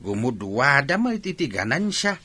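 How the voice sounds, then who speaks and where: A man's voice: medium pitch, big pitch swings, normal speed, sharp tone, slightly rough, heavily acted. A man with an Ilocano accent playing a patient village fisherman father in a radio drama. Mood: resigned, gentle.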